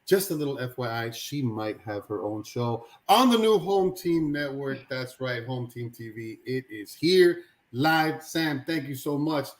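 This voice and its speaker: A man hosting a live stream with energetic, hype-style shout-outs, bringing guests in and out. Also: mid-range voice, a little slow, hardly any pauses. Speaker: host.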